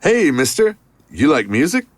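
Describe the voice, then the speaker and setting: A man's voice: a little high, very animated, moderate pace, no room sound. A man playing a laid-back young street promoter in an audio drama, casual and offhand.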